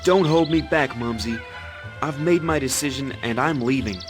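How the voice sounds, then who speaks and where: A young actor playing a vain, boastful fairy-tale hero who talks in a brash, impatient way in a game. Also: male voice, medium pitch, moderate pace, faint music behind, lightly acted. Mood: cheeky. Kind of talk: game voice acting.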